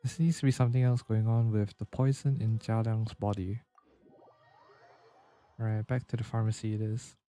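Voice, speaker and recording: A man's voice: on the low side, little pitch movement, normal speed, pauses often, warm tone. A man giving casual live commentary on a game, reacting in short murmured asides as a streamer.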